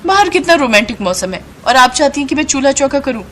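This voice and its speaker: A woman's voice: sits high, big pitch swings, fast, a bit bright, slight background noise, pitched above the performer's own voice. A woman voicing a haughty, spoiled young daughter-in-law who speaks in a mocking, self-important way in a family story. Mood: haughty, indignant.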